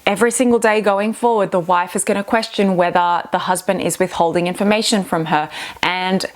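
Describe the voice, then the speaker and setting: A woman's voice: on the low side, fairly even intonation, a little fast. A woman hosting a reaction video, giving earnest, emphatic commentary on marriage advice. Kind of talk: casual commentary on relationship clips.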